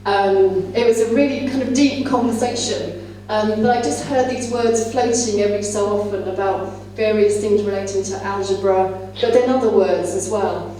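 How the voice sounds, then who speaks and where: A woman's voice: medium pitch, mellow. A woman speaking at a conference as a teacher trainer, explaining things to fellow teachers in a conversational way. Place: large indoor conference room. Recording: echoey hall, distant mic, faint hum.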